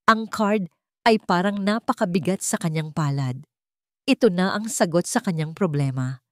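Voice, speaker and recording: A woman narrator tells a romance drama with a very animated delivery. Her voice sits a little low for a woman, and the recording is dry and close. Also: normal speed, no room sound. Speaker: story narrator.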